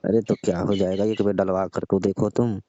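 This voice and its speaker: A man's voice: low voice, little pitch movement, moderate pace, mellow, no echo. A man playing a lecherous, pleading older father-in-law in a comedic phone-call skit, coaxing and insistent. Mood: insistent, amorous. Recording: dull lo-fi phone-call style audio.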